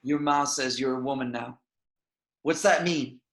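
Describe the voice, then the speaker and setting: A man playing a controlling, possessive middle-aged father in a home table read, speaking in a low, creeping, threatening way in an echoey room. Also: unhurried, natural voice. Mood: menacing. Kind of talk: amateur table read over video call.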